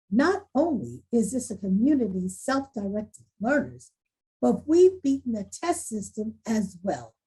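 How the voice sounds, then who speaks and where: A woman playing a proud, composed school principal in a screenplay table read, speaking formally with a husky voice. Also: a little high, mellow, exaggerated voice, poor audio.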